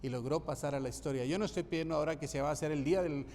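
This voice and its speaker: A man's voice: on the high side, rapid-fire, darkish tone, in a large hall, a little hiss or hum. A man from Colombia, preaching a sermon as pastor, emphatic and repeating key phrases for rhythm. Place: church sanctuary.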